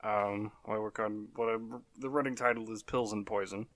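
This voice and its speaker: A man's voice: fairly low pitch, fairly even intonation, moderate pace, a bit bright, dry recording. A man co-hosting a casual podcast, sarcastic and swearing freely, hesitant with "um" as he explains his writing. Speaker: podcast co-host, writer.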